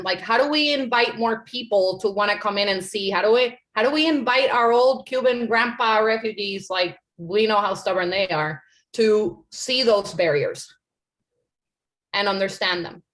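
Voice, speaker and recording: A woman's voice: mid-range voice, fairly bright tone, rough recording. A woman from Puerto Rico answering questions on a panel, earnest and conversational, often adding "like" and "right?". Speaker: panel speaker.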